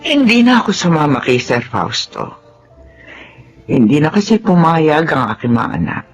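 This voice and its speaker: Loyal ex-maid, middle-aged, polite, very lively pitch, soft music behind. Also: female voice, moderate pace. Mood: reflective narration. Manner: polite, deferential, uses "ho". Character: humble, loyal middle-aged former housemaid Daleng. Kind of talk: radio drama acting.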